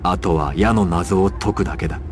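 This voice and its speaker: A man voicing a ruthless, imperious crime boss in a dramatic anime video game, theatrical, menacing and deliberate. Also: very noisy, lightly acted. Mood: smug, menacing. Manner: theatrical, menacing, deliberate, swinging from low murmur to shouts. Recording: loud music over game voice clips. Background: loud game music.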